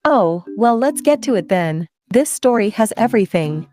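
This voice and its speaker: A woman's voice: fairly high pitch, lively intonation, normal speed, dark tone, no room sound, faint music behind. A woman hosting story time for children, playful and chatty, putting questions to her young listeners.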